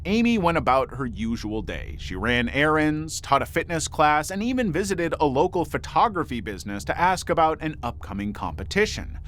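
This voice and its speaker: A man's voice: on the high side, fairly bright tone, slight background noise. A man reading scripted true crime narration as the narrator of a video. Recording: music bed under the voice. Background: background music.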